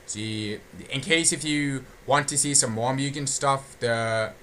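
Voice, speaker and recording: A man with a bright, forceful voice talks casually through an unscripted audio log. He halts and circles back, restating his phrases as he goes. There is faint noise in the recording. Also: mid-range voice. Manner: halting, repetitive, often restating phrases. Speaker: channel host giving an update.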